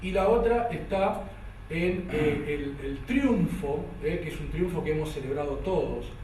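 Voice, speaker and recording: A man's voice: on the high side, unhurried, darkish tone. Argentine male academic, hesitant lecturer, distant mic with faint background noise. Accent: Argentine Spanish. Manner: hesitant, many "eh" fillers and restarts. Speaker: conference lecturer. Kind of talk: academic lecture with a read-aloud poem. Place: lecture hall. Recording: echoey hall from far off, faint noise.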